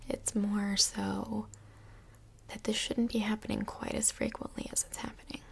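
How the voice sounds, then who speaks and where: A woman playing a calm, chatty couples counsellor in a soft-spoken ASMR role play, with a breathy, whispery voice and gentle, measured, reflective delivery. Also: medium pitch. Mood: calm, reassuring. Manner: gentle, measured therapist talk with reflective pauses.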